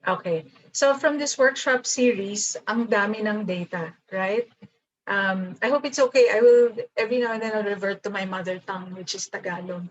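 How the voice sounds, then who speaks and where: A woman's voice: mid-range voice, normal speed. A woman with a Filipino accent giving a workshop lecture over a video call, switching between English and Tagalog and thinking aloud. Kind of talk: online seminar lecture. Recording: dull lo-fi video call audio.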